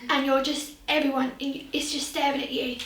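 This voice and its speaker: A woman with a husky voice, talking frankly and with animation in an interview. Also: high-pitched, flat delivery, moderate pace, fairly bright tone. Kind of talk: casual interview talk. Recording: roomy, distant interview audio.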